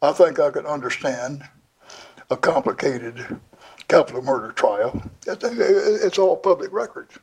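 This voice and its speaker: A man's voice: on the high side, expressive, measured pace, gravelly, slightly rough, no room sound. An older man telling his life story in an interview, plainly and with many restarts. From Houston, Texas. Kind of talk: oral history interview.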